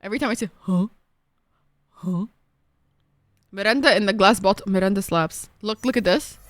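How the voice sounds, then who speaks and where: A young woman from Egypt with an Egyptian accent, chatting casually with viewers while streaming, excitable and freely swearing. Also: medium pitch, moderate pace. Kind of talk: casual chat while gaming.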